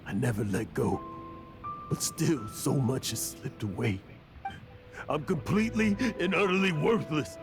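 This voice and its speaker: An actor voicing a weary old tavern storyteller in a game, halting and confessional, over game music. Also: male voice, medium pitch, close to an everyday voice. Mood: despairing. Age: older. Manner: halting, confessional, turning emotional. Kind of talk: game voice acting.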